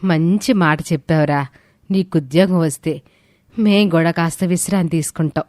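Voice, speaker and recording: A woman's voice: lowish voice, moderate pace, a little mellow, no echo, clean studio sound, close to an everyday voice. A woman playing a doting, homely middle-aged village mother speaking affectionately in an audio story. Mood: plainspoken. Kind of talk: scripted audio story dialogue.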